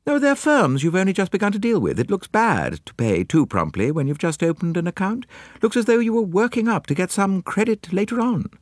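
A man voicing a brisk, grim middle-aged woman secretary with a blunt, matter-of-fact manner. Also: high-pitched, big pitch swings, no room sound, clean studio sound, voice pushed up.

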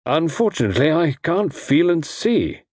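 A man's voice: on the high side, sing-song, normal speed, darkish tone, slightly rough, dry recording, studio quality, voice pushed up. A man voicing a protective, earnest ex-soldier hero in an audiobook adventure, speaking in a stout-hearted, clipped way. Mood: rueful. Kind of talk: audiobook character voice.

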